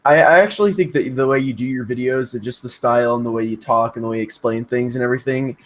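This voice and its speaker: A man's voice: medium pitch, quick pace. A man hosting a casual livestream, chatting with his guest and putting questions in an informal way. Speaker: livestream host, interviewer.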